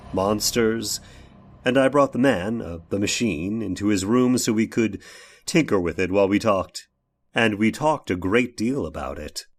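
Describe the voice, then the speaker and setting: An actor playing a nervous, defensive man in an old-time radio horror drama, speaking haltingly and emphatically as if making a confession. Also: lowish voice. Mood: nervous, earnest. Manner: halting, emphatic, rhetorical questioning.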